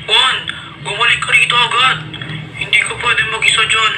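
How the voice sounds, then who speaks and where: High-voiced man as a stern father, very noisy. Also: little pitch movement, normal speed, lightly acted. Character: stern but caring father correcting his son. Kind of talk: puppet show acting. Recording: loud noise over the voice.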